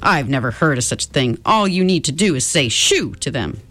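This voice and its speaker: A woman's voice: low-pitched, expressive, fairly quick, a bit bright, soft background music. A woman voicing a grumpy, scornful husband in a storybook, speaking bluntly and scoffing. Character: grumpy, scornful, stiff husband Mister Dullard.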